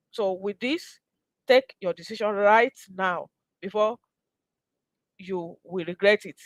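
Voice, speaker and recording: A woman's voice: fairly high pitch, no room sound, poor audio. A woman with a Nigerian accent hosting a promotional live session, earnest and exhortatory, repeating phrases for emphasis. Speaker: host, mentor. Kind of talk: promotional live pitch to viewers.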